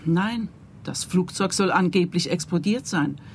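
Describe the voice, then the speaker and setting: An actress playing a composed middle-aged mother in a radio play, polite and measured. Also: fairly low pitch, a little hiss or hum. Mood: sober, composed.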